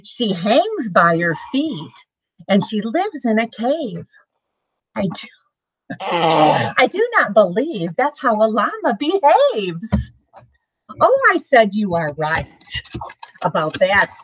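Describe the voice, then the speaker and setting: A middle-aged woman reading a children's picture book aloud in an expressive, sing-song way for young listeners. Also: mid-range voice, takes it slowly, long pauses, a little hiss or hum, low-quality recording. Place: small home room with bookshelves, indoors.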